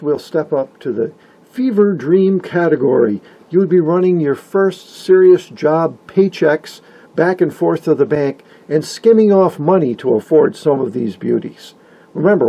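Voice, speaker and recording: A man with a fairly high, gravelly voice narrates a hobby video. His delivery is wry and folksy, like an enthusiast reading his own script. The tone is mellow rather than bright. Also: lively intonation, unhurried.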